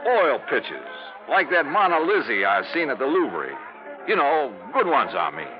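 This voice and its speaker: A man's voice: a little high, very animated, normal speed, lo-fi sound, music in the background. An actor voicing a brash, rich, folksy Texas oilman with a Texan accent, in 1950s radio style. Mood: boastful, folksy. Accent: Texan, put on. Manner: folksy, boastful. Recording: band-limited 1950s radio recording.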